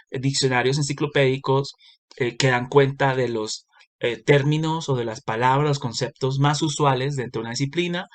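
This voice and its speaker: A man giving a recorded lecture, explaining step by step with frequent pauses and self-corrections. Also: mid-range voice. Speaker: university lecturer.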